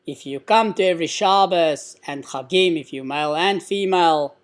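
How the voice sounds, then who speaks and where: Afrikaans-accented man answering viewer questions. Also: on the high side. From South Africa. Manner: conversational, explanatory, with frequent fillers. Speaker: live stream host answering questions. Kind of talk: casual Q&A live stream.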